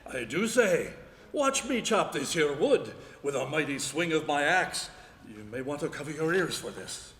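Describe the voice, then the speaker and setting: An actor playing a rough, lovelorn woodsman in a comic live radio play, declaiming and a bit dim. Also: fairly high pitch, very animated, normal speed. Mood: boastful. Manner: declamatory, slightly dim, mangles his phrases. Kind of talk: live stage radio play, broad comedy. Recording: echoey ballroom stage, noisy hall.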